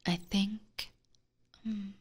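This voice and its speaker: A woman voicing a possessive, aristocratic vampire catgirl in a slow, seductive, teasing whisper for an ASMR roleplay, close on a binaural microphone. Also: mid-range voice, fairly even intonation, exaggerated voice. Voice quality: breathy whisper. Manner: slow, formal, coaxing.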